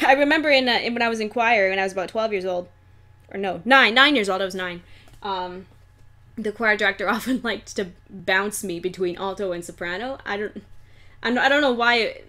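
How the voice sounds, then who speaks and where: A woman's voice: mid-range voice, sharp tone. A young woman, a guest voice actress and singer, chatting casually in an interview, giggling and rambling with lots of fillers.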